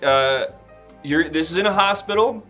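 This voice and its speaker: Man playing a bright-voiced deadpan casting director. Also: a little high, moderate pace, faint music behind. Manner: dry, patient, explaining. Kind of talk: improvised comedy acting.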